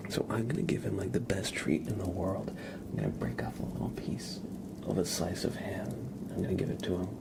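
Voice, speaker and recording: A man streaming ASMR live, chatting casually in a gentle, hushed, breathy whisper. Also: low-pitched, brisk.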